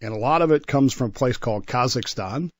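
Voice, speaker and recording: A man's voice: mid-range voice, expressive, a little fast, dry recording. A man with a mid-range voice tells history as a radio commentator. He talks conversationally, stopping for emphasis and slipping in asides. The sound is dry, with very little room to it. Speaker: regular history commentator on a radio talk show.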